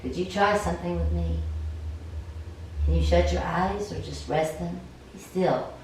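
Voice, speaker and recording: A woman with a low voice reads fantasy narration at sight, slightly halting. She sounds set back from the mic in a strongly echoing room with faint noise. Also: darkish tone, far from the mic. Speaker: player reading a story game. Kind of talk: cold read of scripted fantasy narration.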